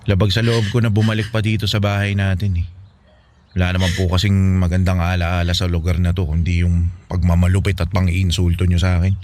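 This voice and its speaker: An actor playing a wounded, bitter young Filipino son in a radio drama, speaking with reproach and hesitant pauses. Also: male voice, deep voice, a little mellow, close to an everyday voice. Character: bitter, wounded grown son, eldest sibling.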